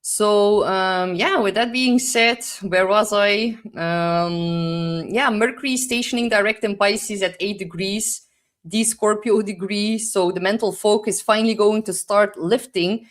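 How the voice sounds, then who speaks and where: A woman's voice: mid-range voice, fairly even intonation, measured pace. A young woman with a Dutch accent gives a rambling, casual astrology forecast, full of "like" fillers, sometimes searching for English words. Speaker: astrologer host.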